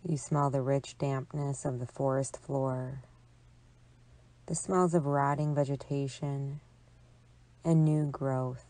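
A woman with a low voice guides a relaxation meditation at a very slow pace. Her delivery is calm and soothing, measured out with long pauses. The recording is dry and close, with little room sound. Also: no room sound. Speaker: psychologist leading guided imagery.

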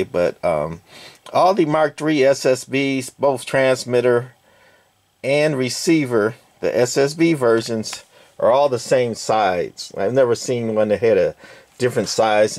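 A man talks through old radio hardware in a cluttered workshop, casual and rambling, full of fillers. His voice sits at an ordinary middle pitch. The sound is dry, with no room echo. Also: unhurried, a little mellow. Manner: rambling, many fillers and hedges. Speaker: radio collector. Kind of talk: casual hands-on walkthrough.

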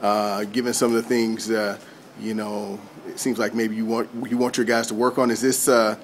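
A man's voice: on the low side, flat delivery, moderate pace, slight background noise. A man, a sports reporter, asking postgame questions off camera in a rambling way, filled with "you know" and "I mean".

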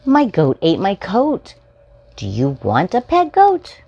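A woman in her forties reading a children's picture book aloud as a storytime host, in simple, deliberately spaced phrases for preschoolers. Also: medium pitch, huge pitch swings, dark tone, breathy edge, dull audio. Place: small furnished room at home, indoors. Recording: home recording with faint background noise.

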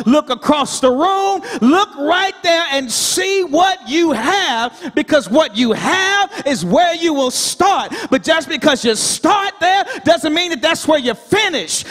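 A man's voice: very high voice, a bit bright. An American man in his thirties preaching a fervent Baptist sermon with an emphatic, repetitive cadence into a handheld microphone. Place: large church sanctuary, indoors. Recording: reverberant hall, amplified PA.

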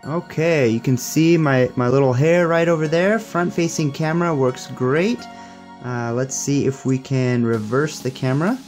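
A man's voice: medium pitch, normal speed, darkish tone, soft background music. A young man giving a casual hands-on tech demo in an upbeat, approving manner. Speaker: tech reviewer.

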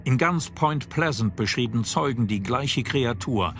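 A man's voice: mid-range voice, lively intonation, unhurried. A man reads scripted documentary narration as the narrator. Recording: loud music under the voice. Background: music.

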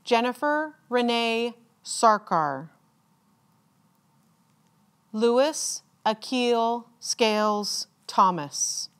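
A woman reads out names as a commencement announcer, in a formal ceremonial style with measured, deliberate enunciation and pauses. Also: fairly high pitch, lively intonation, fairly bright tone, clean tone.

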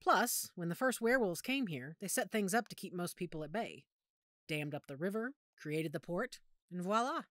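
Woman acting low-voiced, expressive female werewolf lore-keeper. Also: normal speed, no echo, clean studio sound. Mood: informative, assured. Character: steady, knowledgeable female werewolf pack member explaining history. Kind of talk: audio roleplay drama acting.

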